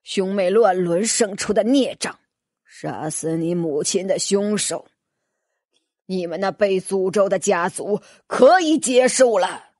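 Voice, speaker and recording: A cold, gloating male villain from a fantasy audiobook, acted out by a woman. The threats come slowly, drawn out with relish. The voice is husky and lowered. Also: expressive, a little mellow, no room sound, clean studio sound. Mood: menacing, gloating. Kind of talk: audiobook character acting.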